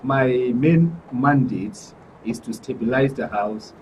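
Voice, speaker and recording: A man's voice: medium pitch, slow pace, darkish tone. A Nigerian man speaking formally as an official guest in a studio interview. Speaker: guild administrator, studio guest. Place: radio and TV studio. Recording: slightly distant mic, faint noise.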